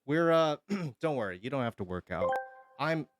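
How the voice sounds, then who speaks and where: Man, streamer, joking, lively pitch, dry sound. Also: moderate pace, no echo. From Hawaii. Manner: goofy, joking, slips into singing. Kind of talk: casual stream chat, thanking viewers.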